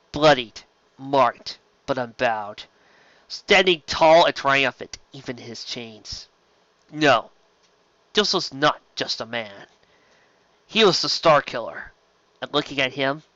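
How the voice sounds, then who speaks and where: A man's voice: mid-range voice, bright, forceful tone, no room sound, highs cut off. A man reading science fiction aloud as an amateur audiobook narrator, in a dramatic storytelling style.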